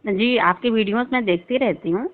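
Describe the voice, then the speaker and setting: A woman's voice: fairly high pitch, brisk. Pleading woman on a tinny phone line. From Uttar Pradesh, India. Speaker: caller seeking help. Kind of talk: phone call conversation.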